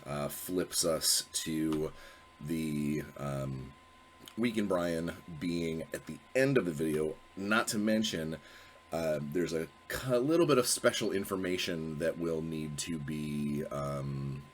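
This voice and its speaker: A low-pitched man talks casually to camera as host of his own show. His voice is stuffy and sniffly from a cold, and he rambles, restarting phrases and filling gaps with ums and uhs. A faint hum sits behind him. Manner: rambling, self-correcting, many fillers. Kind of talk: casual solo video talk.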